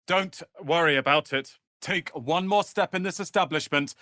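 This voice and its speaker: A man voicing a proud, sharp-tongued young tiefling wizard's apprentice in a video game, with haughty, theatrical, biting delivery. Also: fairly high pitch, normal speed, dry recording, studio quality. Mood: angry, indignant. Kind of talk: dramatic video game voice acting.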